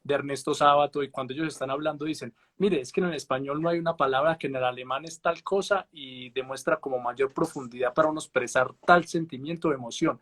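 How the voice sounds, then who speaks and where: A man's voice: medium pitch, quick pace, hardly any pauses, fairly bright tone. A man hosting a casual interview, asking long questions with hesitant fillers and tag questions. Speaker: host.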